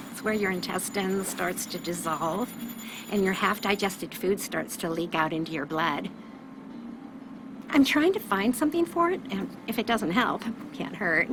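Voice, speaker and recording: A woman's voice: medium pitch, background noise, natural voice. A woman playing a chatty, tactless, health-obsessed small-town customer in a video game, gossipy and oversharing. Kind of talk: video game character dialogue.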